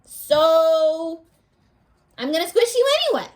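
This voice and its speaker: Woman playing a bossy, high-voiced storybook boy. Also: expressive, takes it slowly, darkish tone, exaggerated voice. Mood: threatening. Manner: taunting, dismissive. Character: bossy, scornful young boy from a picture book.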